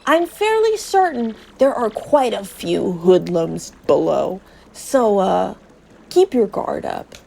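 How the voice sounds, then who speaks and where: A woman's voice: medium pitch, sing-song, slow pace, vocal fry, darkish tone, voice pushed down, exaggerated voice. A woman voicing a fussy elderly male professor in a video game, with hesitant, old-fashioned, teasing phrasing. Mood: cautious, needling.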